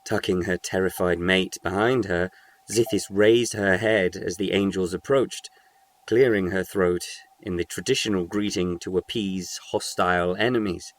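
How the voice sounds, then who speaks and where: A man reading scripted narration for a short audio story. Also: deep voice.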